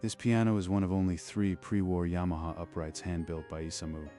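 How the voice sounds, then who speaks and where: Guy voicing a calm old piano workshop owner, very deep, mellow, soft music under it. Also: a little fast, lightly acted. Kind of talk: manga dub voice acting.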